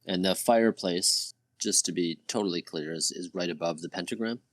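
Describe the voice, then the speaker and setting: A man's voice: low voice, normal speed, no room sound. A man speaking casually as a player in a tabletop game, checking details.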